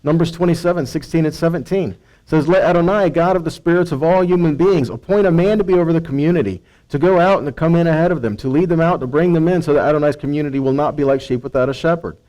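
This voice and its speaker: American guy giving a bible teaching, slightly higher voice but mellow and relaxed, talks it through with questions. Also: fast talker, rough recording. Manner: conversational, rhetorical questions, frequent check-in tags like right and okay. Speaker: preacher, scripture teacher. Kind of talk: sermon-like Bible teaching, reading verses and explaining.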